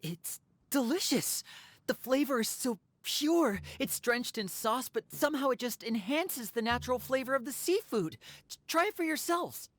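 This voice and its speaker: A woman playing a polite, earnest video game character with a courteous, expressive delivery. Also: sits high, normal speed, no echo. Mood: earnest, impressed.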